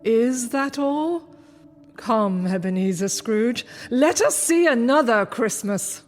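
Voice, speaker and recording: Woman doing a grave spirit, commanding and slow, long echo effect, soft music behind. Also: a little high, lively intonation. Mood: solemn. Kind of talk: radio drama acting. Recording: reverb effect on the voice.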